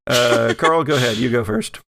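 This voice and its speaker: A man narrating an improvised horror tabletop game as game master, with dramatic pauses and searching phrasing. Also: medium pitch, creaky, a bit bright, no room sound, low-quality recording.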